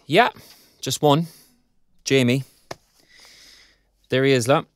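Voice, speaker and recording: A man's voice: medium pitch, takes it slowly, close to an everyday voice. A male actor playing a preoccupied young graduate in an audio drama, with an Irish accent and a hesitant, self-deprecating manner. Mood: wry. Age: young adult. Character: wry, preoccupied young arts graduate job-hunting. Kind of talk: naturalistic audio drama acting.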